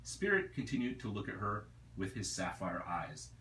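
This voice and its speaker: A man reading his own novel aloud as a storyteller, in a deliberate, expressive narrating style. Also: lowish voice. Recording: roomy echo, distant mic, faint noise.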